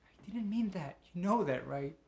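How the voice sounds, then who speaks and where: A man's voice: mid-range voice, unhurried, highs cut off, lightly acted. A man playing a volatile, possessive husband. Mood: remorseful. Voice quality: stammering when apologetic. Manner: wild swings from hushed pleading to screaming, with whispered asides. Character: volatile, possessive husband swinging between rage and remorse.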